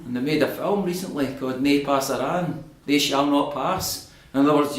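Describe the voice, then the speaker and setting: Passionate Scottish man in his fifties. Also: a little high. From Scotland. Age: 50s. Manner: passionate, rising to emphatic oratory. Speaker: guest speaker. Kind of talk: political talk to a local audience. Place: community hall. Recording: echoey room.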